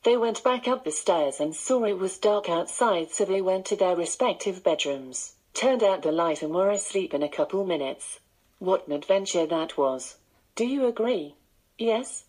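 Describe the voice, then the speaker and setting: A woman's voice: lowish voice, expressive, normal speed, darkish tone, rough recording. A synthetic woman's voice of a voice assistant, narrating bedtime stories.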